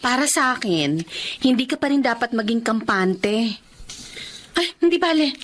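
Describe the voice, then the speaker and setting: A woman's voice: on the high side, animated, normal speed, a bit bright, a little hiss or hum. An actress with a Filipino accent playing a suspicious, haughty, nagging woman in a radio drama. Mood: suspicious, scornful. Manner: nagging, insinuating.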